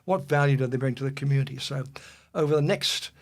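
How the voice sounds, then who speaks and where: An older man from Wales, a guest recalling his career in a relaxed, rambling way with many fillers and restarts. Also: medium pitch, fast talker. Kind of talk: relaxed reminiscing.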